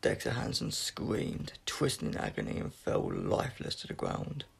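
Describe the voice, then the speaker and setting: A man reading a science fiction story aloud as scripted narration, in a gravelly, husky voice with an unpolished, amateur delivery. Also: fairly low pitch, wildly swooping pitch, moderate pace. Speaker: audiobook reader.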